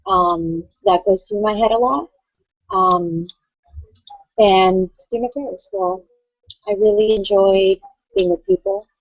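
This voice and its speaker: A woman from California talks haltingly, with lots of fillers, about who she is. Her voice has a gravelly creak. The sound is dry and dull, like a lo-fi call. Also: medium pitch, lively intonation, dry recording. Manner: hesitant, many fillers. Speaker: student affairs professional. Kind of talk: casual video-call discussion.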